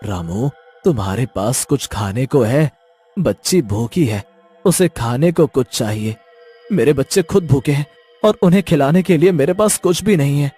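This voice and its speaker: A man's voice: mid-range voice, animated, normal speed, mellow, slightly rough, faint music behind. A man playing distrustful, alarmed village men in a cartoon, with emphatic and urgent dramatic delivery. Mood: troubled.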